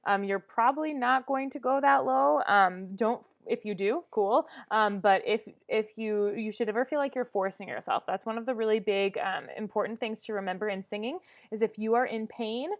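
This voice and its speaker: A woman voice teacher leading a vocal warm-up lesson, talking with many "um"s and demonstrating exercises. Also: on the high side, moderate pace, hardly any pauses, muffled recording.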